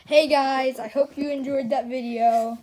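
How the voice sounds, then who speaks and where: A woman's voice: sits high, fairly even intonation, a little slow, dark tone, poor audio. A woman presenting her puppet videos, speaking to viewers in a casual, unscripted, pleading way.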